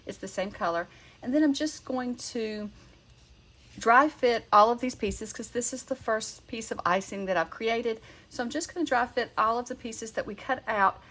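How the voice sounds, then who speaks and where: A woman's voice: fairly high pitch, normal speed, fairly bright tone. A woman with a Southern accent hosting a card-making channel, talking through a craft tutorial in a chatty, rambling way and correcting herself as she goes.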